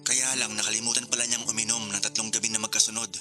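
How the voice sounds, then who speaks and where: A man's voice: fairly low pitch, flat delivery, quick pace, over music, lightly acted. A man playing a young engaged man in a radio drama, bantering yet hesitant and conflicted. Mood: regretful, resigned.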